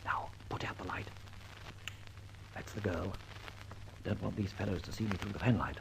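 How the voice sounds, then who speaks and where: An actor playing a jaunty, confident gentleman detective in an old-time radio serial, with theatrical, old-fashioned phrasing. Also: low-pitched, moderate pace, vocal fry. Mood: hushed, excited. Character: suave, jaunty gentleman adventurer-detective, male, whimsical and confident. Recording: noisy old radio recording.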